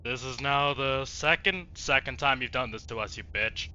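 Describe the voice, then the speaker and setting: A man streaming a video game with casual, jokey, profanity-laced commentary and lively reactions. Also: mid-range voice, moderate pace, clean tone, dull audio. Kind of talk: casual let's play commentary.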